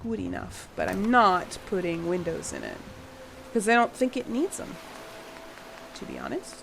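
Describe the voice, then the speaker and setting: A woman hosting a game-building channel, chatting casually while she plays. Her voice is a little husky from a cold she is getting over, and she sounds tired and late-night. She apologizes often and laughs a lot. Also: fairly low pitch, huge pitch swings, normal speed, background noise. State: slightly husky from a fading cold. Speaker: gaming channel host. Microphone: home voice mic.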